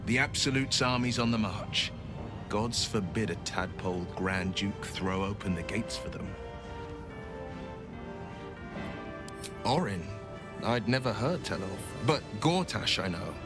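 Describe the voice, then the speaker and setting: An actor playing an earnest, solemn young nobleman adventurer bound by a devil's pact, telling things in a measured way for a video game. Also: on the low side, animated, music in the background, close to an everyday voice. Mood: grave. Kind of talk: video game dialogue acting.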